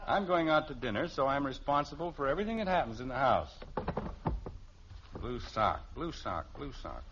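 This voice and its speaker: Blustering, animated suburban dad, old radio. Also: male voice, mid-range voice, normal speed. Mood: grumbling. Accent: American. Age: middle-aged. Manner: blustering, pompous speechifying broken by sarcastic grumbling. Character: exasperated, self-important suburban husband and father. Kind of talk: 1950s radio sitcom acting. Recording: dull, hissy old radio recording.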